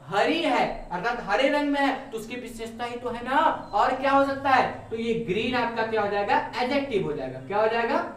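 A man's voice: very high-pitched, a bit bright. A young man teaching English grammar at a whiteboard, asking rhetorical questions and answering them himself, recorded from a distance in a room. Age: young adult. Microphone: camera at a distance. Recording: echoey room, voice off-mic.

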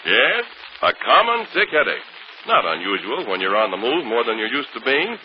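Lively old-time radio announcer man. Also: mid-range voice, moderate pace. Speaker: commercial announcer. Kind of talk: 1940s radio ad read, folksy storytelling. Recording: muffled, faintly noisy old radio broadcast.

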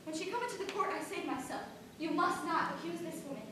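An actress playing a frightened but defiant eighteen-year-old servant girl, with halting repeats and old-fashioned rustic speech. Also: extremely high pitch, lively intonation. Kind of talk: stage play performance. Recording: echoey, far-off stage audio with background noise.

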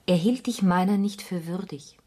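Woman acting a husky, disillusioned lover. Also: fairly low pitch, warm tone, natural voice. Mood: grave, reproachful. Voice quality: husky, breathy. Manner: measured, sombre, deliberate. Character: disillusioned, grave woman ending a love affair. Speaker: actor in a radio drama. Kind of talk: German radio play acting, intimate dialogue.

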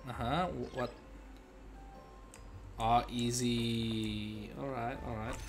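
A man's voice: medium pitch, fairly even intonation, takes it slowly. A young man doing casual, playful gameplay commentary, often muttering and repeating phrases to himself. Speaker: game streamer. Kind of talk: casual let's play commentary. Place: indoor room at a gaming desk. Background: game music, keyboard clicks.

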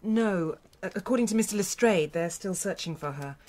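An actress playing a grieving but composed and courteous widow in a radio drama, polite and hesitant. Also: female voice, medium pitch, lively intonation, moderate pace, darkish tone, natural voice. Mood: earnest.

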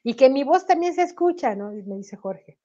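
A woman's voice: high voice, moderate pace, muffled recording. A woman with a Mexican accent, a singer on a video call interview, talking animatedly with a slight cough. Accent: Mexican Spanish. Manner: animated, tag questions, laughs while talking. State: slight cough, sore throat. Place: home room. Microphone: webcam on video call.

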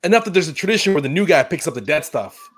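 A man's voice: fairly high pitch, sing-song, fast talker, slightly rough. A man reading a teasing young coworker at a garage over a video call.

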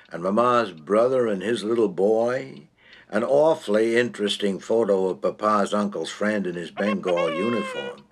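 A man's voice: lowish voice, slightly rough. A man narrating a comic short story in a droll, theatrical, precise manner.

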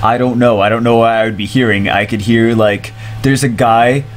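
A congested man in his thirties, talking over a game with an excitable, rambling manner and a habit of repeating himself. His pitch sits in an ordinary middle range. He speaks into a headset in a small room at home, with a faint hum of air conditioning behind him. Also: normal speed. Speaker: gaming streamer. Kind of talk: casual gaming commentary.

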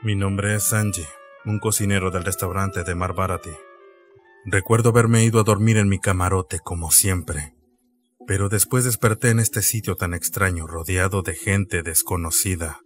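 Cool, sceptical young ship's cook for anime fandub, male actor; lowish register, blunt, music underneath. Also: fairly even intonation, moderate pace, natural voice.

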